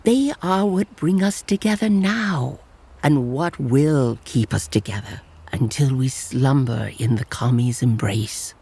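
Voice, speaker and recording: An actor voicing a gentle, wise tanuki elder officiating a wedding in a motion comic, speaking in a measured, reverent storytelling manner with a husky voice. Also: mid-range voice. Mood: tender, solemn. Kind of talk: dramatic motion comic voice acting.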